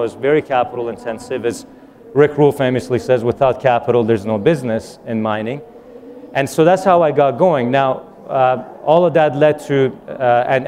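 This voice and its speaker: A man's voice: medium pitch, a little mellow, slight background noise. A young man from Iran who grew up in Vancouver, answering as a guest on a live conference panel, fluent with frequent uh's and repeated words. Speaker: panel guest, company founder.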